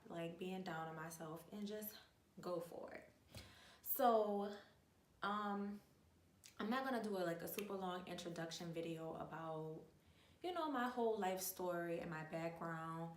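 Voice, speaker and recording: A woman in her twenties from New Orleans with a New Orleans accent, chatting casually to camera, full of slang and fillers. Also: mid-range voice. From New Orleans, USA. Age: 20s. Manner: chatty, animated, uses lots of slang and filler. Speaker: vlogger. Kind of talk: casual storytime chat. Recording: echoey room, mic at a distance.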